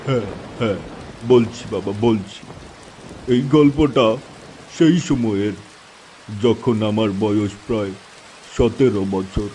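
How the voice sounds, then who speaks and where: A man playing an older, easygoing village uncle in an audio story, reminiscing in measured phrases with savouring pauses. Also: fairly low pitch, warm tone, slight background noise, pitched below the performer's own voice. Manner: measured, pausing, savouring his words.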